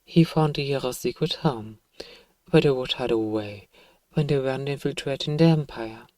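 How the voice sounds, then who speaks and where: A man's voice: mid-range voice, moderate pace, warm tone, no echo, close to an everyday voice. Man acting as pompous evil emperor parent, dry close sound. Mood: grandly narrating. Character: evil emperor, self-righteous scheming parent soothing a child. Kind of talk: ASMR bedtime story roleplay.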